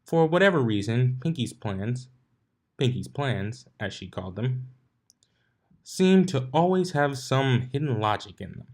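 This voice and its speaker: A man narrates a story in an amateur read-aloud style. His pitch sits in the middle, and he now and then trips on a word and picks it up again. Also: sing-song, a little slow, a little mellow. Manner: occasionally stumbles over written words. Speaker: fanfiction narrator.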